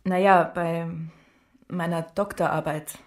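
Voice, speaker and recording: An actress playing a coaxing, insecure young doctoral student in naturalistic radio drama dialogue, speaking with some hesitation. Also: female voice, lowish voice, fairly even intonation, takes it slowly.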